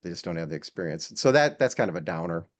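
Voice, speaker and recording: A man speaking casually as an interview guest and instructor, in a hesitant, halting way with repeated words. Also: low-pitched, lively intonation. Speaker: podcast guest, air traffic control instructor. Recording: dull, lo-fi sound.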